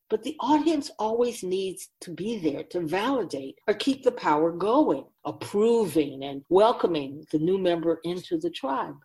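Woman as a deliberate, mellow feminine spirit, expressively voiced. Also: mid-range voice. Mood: grave, assured. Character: Keeper of Souls Purpose, otherworldly feminine spirit, grave and candid. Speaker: spirit guide being interviewed. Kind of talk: radio drama monologue, reflective.

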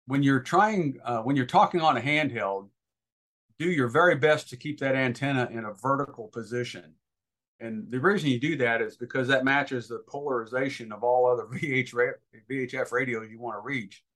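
A man's voice: mid-range voice, normal speed, fairly bright tone. A man giving informal instruction as a guest expert, talking in an emphatic, advisory way.

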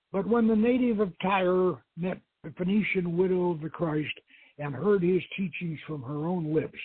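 A man's voice: on the high side, breathy edge, dry recording. A man who hosts the show, giving a dramatic reading of a novel. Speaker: host reading a novel aloud. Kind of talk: dramatic audiobook-style reading. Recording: thin, phone-like radio line.

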